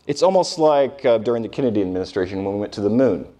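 Casting: man as history professor, lowish voice, informal and emphatic classroom delivery, picked up by a distant mic. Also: expressive, dark tone. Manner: conversational, emphatic, with rhetorical questions and pauses. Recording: distant microphone in live lecture.

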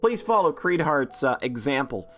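A woman's voice: deep voice, big pitch swings, moderate pace, faint music behind. A woman streaming a game, chatting with viewers in a self-deprecating joking way. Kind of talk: casual game stream chat.

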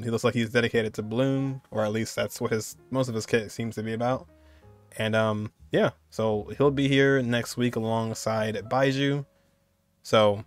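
Chatty young man reacting to a trailer. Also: medium pitch. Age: young adult. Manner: chatty, laughs often, many fillers. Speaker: streamer reacting to a game trailer. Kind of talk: casual reaction commentary.

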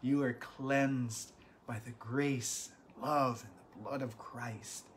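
A man, a pastor teaching a Bible study from home, earnest and animated, with bursts of emphasis. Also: mid-range voice, slow pace, a little hiss or hum. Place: small living room at home.

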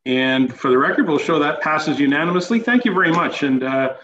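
A man's voice: fairly high pitch, fairly quick, a bit bright. A man serving as mayor, reading a council motion and calling the vote over a video call. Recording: echoey muffled video call with faint noise.

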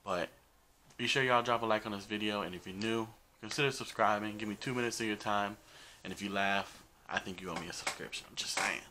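A young man hosts a vlog, talking casually to camera. His voice sits on the low side and comes across bright and forceful. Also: fairly quick, a little hiss or hum. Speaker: vlog host. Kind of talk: casual chat to camera introducing a video. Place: small bedroom, indoors.

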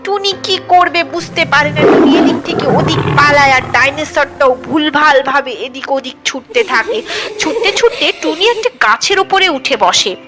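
A woman's voice: high-pitched, normal speed. A woman narrating a children's cartoon story in a dramatic storybook manner, speaking straight to young viewers. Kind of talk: animated scripted storybook narration. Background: music under the voice.

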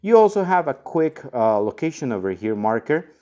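A man's voice: medium pitch, sing-song, moderate pace, mellow, dull audio. A man hosting a casual instructional walkthrough, explaining each step informally. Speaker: product tutorial host.

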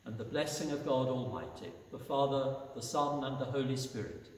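A man in his sixties, a priest, leading solemn prayer and blessing in measured, formal phrases. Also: medium pitch, lively intonation. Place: inside a church at the altar. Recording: echoey church, distant mic, background noise.

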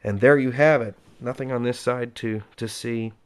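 A man's voice: mid-range voice, fairly even intonation, moderate pace, clear, resonant tone. Chatty man demonstrating gear. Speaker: presenter demonstrating equipment. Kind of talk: casual unscripted product demonstration. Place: indoors at home, close-up tabletop demonstration.

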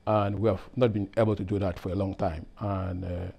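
A middle-aged man from Cameroon speaks in a low, gravelly voice. He answers interview questions in a lab, pausing often with fillers and restarting his sentences. A lapel mic keeps his voice close. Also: little pitch movement, mellow. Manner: hesitant, many fillers and restarts. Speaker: process engineer. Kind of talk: workplace interview. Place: lab, indoors.

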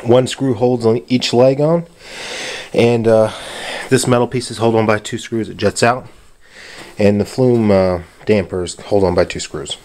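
A man's voice: on the low side, fairly even intonation, unhurried, a little mellow. A man casually narrating his hands-on home repair in a laid-back, plain-spoken way. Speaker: home DIY video maker. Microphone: handheld camera or phone behind the lens. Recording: noisy handheld camera audio with clicks.

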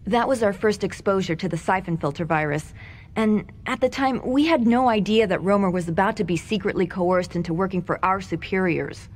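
An actress playing a composed, capable intelligence agent, measured and matter-of-fact, in a video game. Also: female voice, medium pitch, lightly acted. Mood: measured testimony. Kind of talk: scripted game cutscene acting. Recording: game audio with background noise.

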